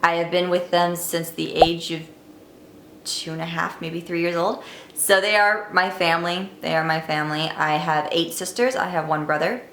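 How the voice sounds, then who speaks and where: A woman's voice: on the low side, steady tone, moderate pace, a bit bright, a little hiss or hum. A twenty-year-old woman vlogging, telling her life story to camera in a candid, wry, chatty way. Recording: echoey room, faint ambience.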